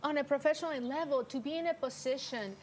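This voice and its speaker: A woman's voice: high voice, fast talker, darkish tone, a little hiss or hum. A middle-aged woman from Peru, a non-native English speaker, talking earnestly and upbeat as a guest on a TV interview in a studio. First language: Spanish. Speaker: guest entrepreneur.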